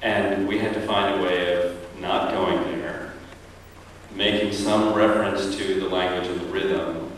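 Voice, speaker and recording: Deep-voiced reflective man from New York. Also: steady tone, measured pace, slight background noise. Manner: reflective, searching for words with many fillers. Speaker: stage performer on a panel. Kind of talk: post-show Q&A panel talk. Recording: echoey hall, picked up from far off.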